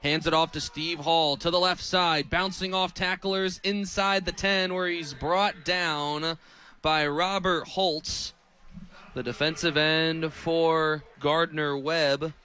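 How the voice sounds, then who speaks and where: A young American man works as a radio play-by-play announcer. His voice sits high and has a bright, punchy edge. He delivers live football commentary, tracking each snap and ball carrier. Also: fairly even intonation, takes it slowly. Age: 20s.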